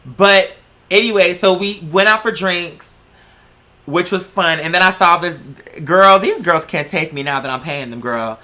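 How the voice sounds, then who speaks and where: Androgynous mid-pitched voice, bright and forceful, American accent, sassy slangy gossip, emphatic drawn-out words, casual vlog storytelling. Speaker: vlog host.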